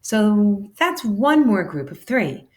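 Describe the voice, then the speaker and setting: Slow, expressive woman reading aloud. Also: medium pitch. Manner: deliberate, expressive, shifting between character lines. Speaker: teacher reading a children's math book aloud. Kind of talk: animated read-aloud of a children's educational graphic novel.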